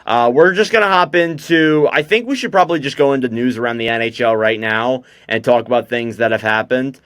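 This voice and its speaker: A man's voice: mid-range voice, brisk, a bit bright, smooth, dry recording, studio quality. A young man hosting a casual hockey podcast, chatty and loose with lots of fillers. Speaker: podcast host.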